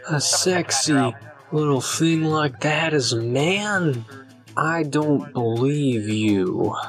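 A man's voice: fairly high pitch, lively intonation, slow pace, music in the background. An actor playing a sleazy, leering drunk man held by police in an audio drama. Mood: lecherous.

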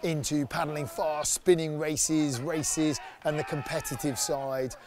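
A man's voice: a little high, little pitch movement, slow pace, noisy background. A man in his forties, an outdoor activity company director, talking enthusiastically in a promotional interview outdoors in a sunny woodland, on a lapel mic. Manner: enthusiastic, upbeat.

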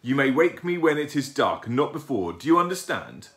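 A man's voice: deep voice, lively intonation, moderate pace, fairly bright tone. A man puts on a grumpy storybook father owl who only wants his sleep. He is exasperated and blustering, with a widely swinging delivery.

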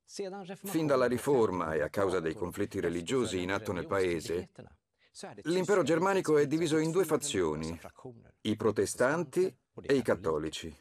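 A man in his forties explaining history while pointing at a map, in a lively, explanatory manner. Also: lowish voice.

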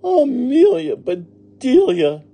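A bossy storybook lady of the house acted out by a man, excitable and easily exasperated. The voice sits very high, swooping dramatically as it gives its orders. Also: measured pace, slightly rough, exaggerated voice. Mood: horrified, exasperated. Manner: commanding, dramatic exclamations. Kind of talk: children's storybook character reading.

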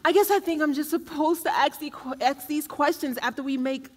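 A woman's voice: sits high, fairly quick, close to an everyday voice. A woman playing a giddy, clingy, lovestruck young woman in a comedic stage play, gushing breathlessly and melodramatically. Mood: anxious, pleading. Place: theatre stage, indoors. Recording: noisy stage recording from far off.

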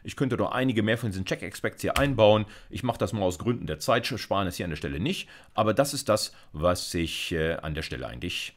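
A man in his fifties with a low voice that moves up and down in lively swings. He explains code step by step as a lecturer, informally thinking aloud. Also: fairly quick, few pauses. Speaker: university computer science lecturer. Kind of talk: explanatory screencast lecture with live coding. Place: indoors, seated at a computer.

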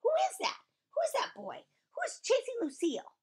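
Grace, a shrill, eager little girl. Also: wildly swooping pitch, fairly quick, darkish tone, full character voice. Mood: urgent, yelling. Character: Grace, eager, excitable, loud kindergarten girl.

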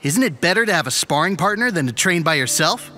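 Male actor as cocky young cartoon hedgehog hero, high bright voice, teasing, slangy. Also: expressive, fast talker, faint music behind. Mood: playful teasing. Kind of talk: animated comic dub acting.

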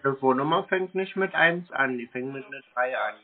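Man, average pitch, short plain answers, casual, tinny telephone sound. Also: brisk. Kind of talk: casual phone conversation. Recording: narrow-band telephone call.

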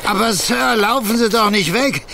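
An actor playing a cheerful, boastful, good-natured working-class husband with a Berlin accent, colloquial and wheedling, in an audio drama. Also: male voice, high-pitched, normal speed, creaky, sharp tone, slight background noise, heavily acted. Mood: concerned. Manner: colloquial, wheedling, hesitant storytelling. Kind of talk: German audio drama acting.

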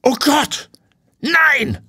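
A stern lady of about fifty, as a male narrator puts her on, speaking in a high, haughty voice. Her pitch swings widely, with a husky edge in a dry, close recording. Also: very animated, moderate pace, fairly bright tone, no echo, exaggerated voice. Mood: distraught. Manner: haughty, then flustered and despairing. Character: stern wealthy woman in her fifties.

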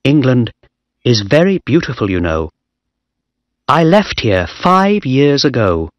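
A man's voice: medium pitch, big pitch swings, takes it slowly, no echo. A man voicing a frightened young naval officer who answers in an earnest, hesitant way. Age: young adult.

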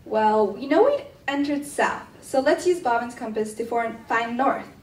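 A woman's voice: a little high, expressive, a little slow. A teenage girl playing a sensible, firm young peacemaker, speaking earnestly in a school radio drama on an echoey stage. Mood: helpful. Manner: earnest, reasoning. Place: indoor theatre stage. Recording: echoey stage hall, distant microphone.

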